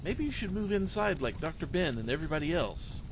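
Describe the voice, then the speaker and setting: A man's voice: fairly high pitch, big pitch swings, brisk, background noise, voice pushed up. A man voicing a curious, earnest young boy asking questions in a story. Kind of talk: storybook character voice in a reading.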